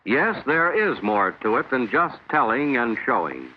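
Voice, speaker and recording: Lively American male narrator, muffled 1940s film. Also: mid-range voice, unhurried, a little hiss or hum.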